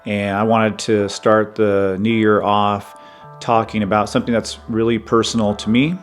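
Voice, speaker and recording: Fifty-something guy hosting his podcast, lowish voice, calm and earnest, soft music behind. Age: 50s. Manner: earnest, measured, conversational. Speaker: podcast host. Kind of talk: personal reflective monologue.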